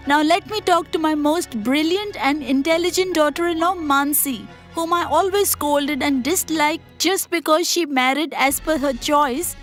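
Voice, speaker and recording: A woman as a high-voiced, commanding cartoon mother-in-law. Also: unhurried, few pauses, fairly bright tone. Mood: stern, scolding. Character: commanding mother-in-law testing her daughters-in-law. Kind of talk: dubbed moral-story cartoon dialogue. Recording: music behind the voice.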